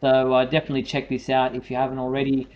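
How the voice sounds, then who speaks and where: A man hosts a live online fan show, reading out news items in a medium-pitched voice. His delivery is halting, with hesitations as he moves from one announcement to the next. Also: completely flat delivery, muffled recording. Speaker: show host.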